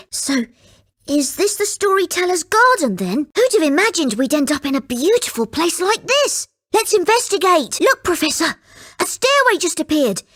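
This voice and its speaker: A woman voicing a polite, earnest and excitable young boy in a video game. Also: extremely high pitch, exaggerated voice. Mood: excited, urgent.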